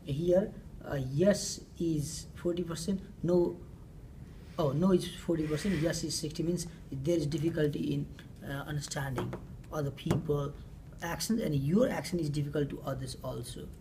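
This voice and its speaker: Nepali lady giving a class presentation, low mellow voice, mic kinda far off w faint hiss. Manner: halting, often rephrasing and restating questions. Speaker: student presenter. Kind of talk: presenting survey results. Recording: distant mic with faint room noise.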